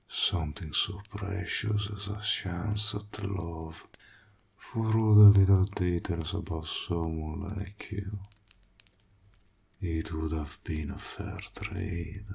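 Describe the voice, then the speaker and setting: A man playing a seductive, menacing warlock in an intimate roleplay audio drama, smug and possessive, teasing in a gravelly, breathy half-whisper. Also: deep voice, darkish tone, heavily acted.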